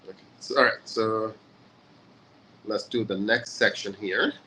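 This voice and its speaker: Relaxed, chatty male host, lowish bright voice, faint background noise. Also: unhurried, low-quality recording. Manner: relaxed, chatty, many fillers. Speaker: session host. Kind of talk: casual online lesson. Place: hotel in a city abroad.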